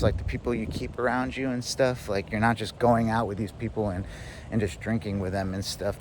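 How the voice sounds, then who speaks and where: Man, thirties, mid-pitched voice, rambling casual talk, outdoor street, light traffic noise behind. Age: 30s. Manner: rambling, filler-heavy, reflective. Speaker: vlogger. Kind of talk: casual self-help monologue.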